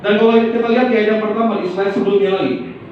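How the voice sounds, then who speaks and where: A man's voice: sits high, fairly even intonation, very fast, slight background noise. A man preaching a sermon as pastor, calling on the congregation to repeat after him and answer amen. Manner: call-and-response, prompting the congregation to repeat and say amen. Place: church sanctuary. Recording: echoey church hall, distant dull mic.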